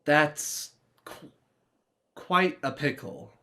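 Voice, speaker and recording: A man's voice: fairly high pitch, steady tone, drawn-out words, bright, forceful tone, heavily acted. A man playing an awkward, kindly teenage boy, hesitant and placating, in a comic stage play reading.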